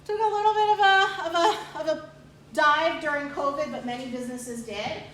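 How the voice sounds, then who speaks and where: A woman's voice: high voice, normal speed, fairly bright tone, a little hiss or hum. A woman giving an enthusiastic recruiting presentation about a university program, stressing and repeating key points. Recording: echoey room, far from mic.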